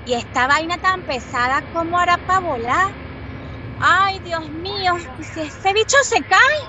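Actriz haciendo de joven venezolana de voz aguda. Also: female voice, extremely high pitch, animated, a little slow, muffled recording, noisy background. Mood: nervous. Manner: colloquial, exclamatory. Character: nervous, feisty, plain-spoken young Venezuelan woman. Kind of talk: radio soap opera acting.

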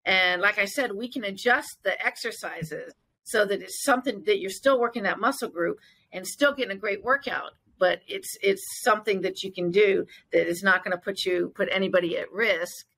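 A woman with a bright, forceful voice and an ordinary mid-range pitch talks as a fitness trainer being interviewed. She is earnest and emphatic, pressing her points in an encouraging, conversational way. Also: moderate pace, vocal fry, rough recording. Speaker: fitness trainer and gym owner, interview guest.

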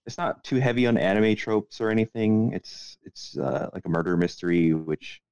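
Man, low pitch, hesitant laid-back delivery, casual podcast chat, dry slightly muffled recording. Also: normal speed, a little mellow, clean tone, dry recording. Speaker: podcast co-host.

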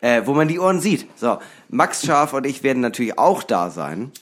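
A man co-hosting a comedy podcast, joking deadpan and hesitating with many fillers. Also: medium pitch, moderate pace. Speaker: podcast co-host.

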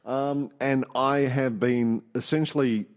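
A man's voice: mid-range voice, steady tone, slow. Middle-aged Australian man, casual podcast co-host, jokey and rambling. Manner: jokey, rambling, repeats himself. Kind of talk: casual chat.

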